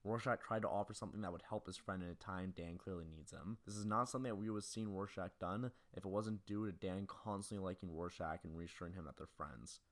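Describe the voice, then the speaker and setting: A man with a deep voice narrates a scripted video essay on film. He moves quickly through his analysis.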